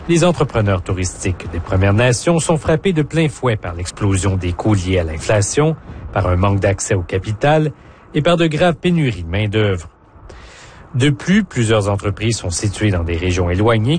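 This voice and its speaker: A man's voice: fairly low pitch, darkish tone, slight background noise. A man narrating a scripted news report as a reporter.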